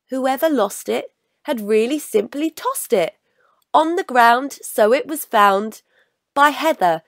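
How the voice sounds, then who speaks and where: A woman reading a children's story aloud in an expressive, deliberate storytelling manner. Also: high voice, no echo, studio quality. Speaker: storybook reader.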